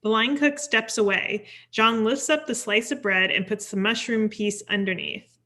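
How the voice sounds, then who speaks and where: A woman's voice: fairly high pitch, fairly even intonation, moderate pace, sharp tone. A woman reading scripted screenplay stage directions at a table read.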